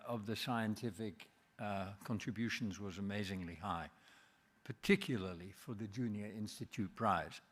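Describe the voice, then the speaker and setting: Low-voiced Yorkshire man presenting a prize. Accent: Yorkshire English. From Yorkshire, England. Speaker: jury member presenting a prize. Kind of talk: award ceremony speech. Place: on stage at an award ceremony.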